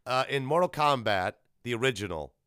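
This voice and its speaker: A man with a bright, forward voice talks as a solo podcast host in a dry, close-sounding space. He rambles casually through his opinions, blunt and animated, with plenty of swearing. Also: medium pitch, brisk, clear, resonant tone, no echo.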